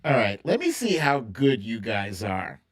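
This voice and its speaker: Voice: mid-range voice, very animated, unhurried. A livestream host chatting casually and playfully with viewers, rambling and thinking aloud while running an informal quiz. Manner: playful, breaks into humming and singing theme tunes. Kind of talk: casual live chat with a TV theme quiz.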